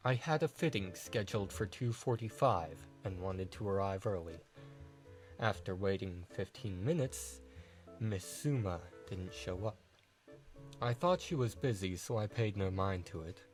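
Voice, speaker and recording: A man's voice: low voice, expressive, unhurried, faint music behind. A man playing a smug, theatrical writer on the witness stand in an audio drama, with a suave, taunting and grandiloquent manner. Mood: composed testimony.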